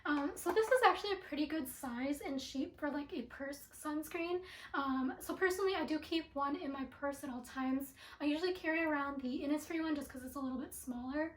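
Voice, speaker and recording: Chatty young woman reviewer, high and slightly raspy from allergies, recorded in a roomy, echoey apartment. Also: brisk. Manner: chatty with many ums and likes. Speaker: beauty product reviewer. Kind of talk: casual product review vlog. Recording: echoey room.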